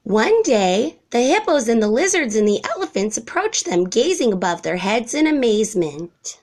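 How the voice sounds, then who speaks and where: A woman reading a children's storybook aloud in an expressive narrating style. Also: on the high side, moderate pace. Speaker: storybook reader.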